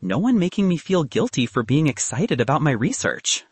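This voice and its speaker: A man's voice: on the high side, big pitch swings, normal speed, slightly rough, no room sound. A man voicing a young woman physicist in an audiobook, confident and frank, with a dry, advice-giving manner. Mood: wry, upbeat.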